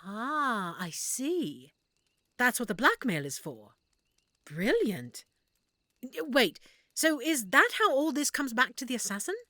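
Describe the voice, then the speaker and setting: A woman playing an affectionate, excitable half-elf shopkeeper in a roleplay audio drama, speaking expressively and warmly to the listener. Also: a little high, takes it slowly, no echo, studio quality, exaggerated voice. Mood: intrigued, attentive. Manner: expressive, warm, reacting with feeling.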